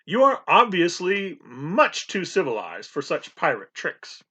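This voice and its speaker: A man doing a calm, formal butler. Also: sits high, breathy edge, exaggerated voice. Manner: formal, courteous, measured. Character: unflappable polite male butler, composed. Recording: dull lo-fi recording.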